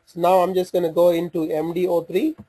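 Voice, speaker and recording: A man giving an informal step-by-step software walkthrough, speaking haltingly as he thinks aloud while working through the screens. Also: high voice, little pitch movement, husky. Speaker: software demonstrator. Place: voice-over for a screen recording.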